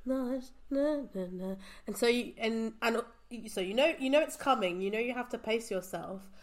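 A woman's voice: a little high, normal speed. A woman from Portugal, chatting as a podcast guest and often breaking off to restart her sentences. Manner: chatty, self-interrupting, laughs often. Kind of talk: casual conversation.

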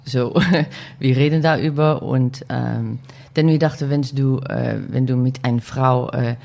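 A Dutch woman in her forties with a Dutch accent, a podcast guest speaking halting German, searching for words with many fillers. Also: very deep voice, steady tone, gravelly, dark tone. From Netherlands. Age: 40s. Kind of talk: casual interview chat.

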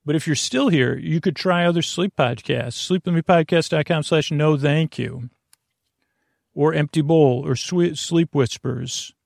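Creaky-voiced man rambling through a bedtime podcast. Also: on the high side, no echo, clean studio sound. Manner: meandering, halting, repeats and trails off mid-thought. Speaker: podcast host.

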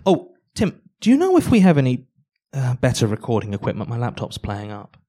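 An earnest male archivist in an audio drama. His mid-pitched voice is halting and apologetic, with a touch of exasperation. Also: huge pitch swings, mellow. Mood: resigned. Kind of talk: audio drama performed live on stage.

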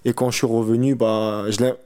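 A man's voice: medium pitch, level tone, a little fast, warm tone, clear, resonant tone. Young man, colloquial and emphatic. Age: 18 years old. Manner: colloquial, repeats phrases for emphasis. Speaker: athlete interviewee, wrestler. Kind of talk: casual chat.

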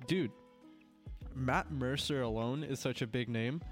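A man's voice: mid-range voice, a little slow, faint music behind. A young man with a cold, congested, chatting casually as a podcast co-host and rambling with lots of filler words. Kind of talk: casual chat.

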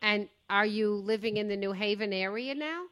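A woman's voice: mid-range voice, moderate pace, a bit bright, smooth. A woman hosting a radio talk show, interviewing a guest in a chatty, affirming way.

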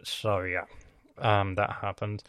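A man's voice: lowish voice, little pitch movement, takes it slowly, fairly bright tone, clear, resonant tone, no echo. A man raised in Lebanon hosting his own podcast, talking in a loose, rambling monologue full of swearing and lost threads. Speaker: podcast host.

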